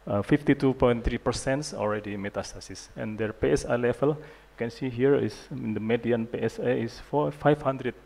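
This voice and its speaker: A man in his thirties with an Indonesian accent, giving a conference lecture on a handheld mic in a large hall, halting and self-deprecating with many fillers. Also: mid-range voice, creaky, a little mellow. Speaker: guest lecturer, urologist.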